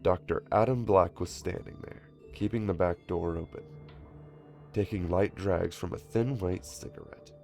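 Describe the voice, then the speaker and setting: A man with a gravelly voice, reading a scripted first-person horror story in a dramatic way. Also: low voice, moderate pace, darkish tone, faint music behind.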